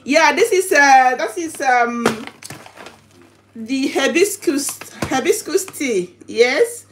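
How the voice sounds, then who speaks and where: A woman chatting casually to her livestream viewers while unboxing, halting and repeating herself, recorded in a home kitchen. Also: sits high, animated. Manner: halting, repetitive, chatty delivery. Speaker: livestream vlogger. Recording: noisy home recording with clicks.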